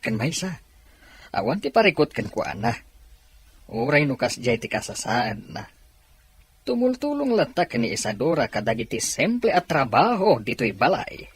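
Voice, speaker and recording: Very husky, kindly professor. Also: male voice, mid-range voice, sing-song, moderate pace. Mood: earnest, caring. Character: kindly unmarried professor, caring older brother. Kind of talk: radio drama acting.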